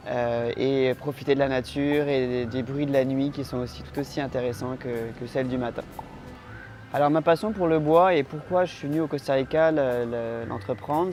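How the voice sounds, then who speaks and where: A man from France answering interview questions in a calm, hesitant way, with frequent filler sounds. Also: medium pitch. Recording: music playing underneath.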